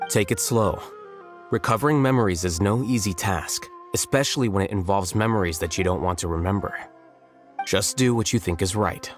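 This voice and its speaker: A man voicing a calm, analytical young scholar in a video game, speaking in a measured, matter-of-fact way. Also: on the low side, soft background music, lightly acted. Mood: composed, matter-of-fact.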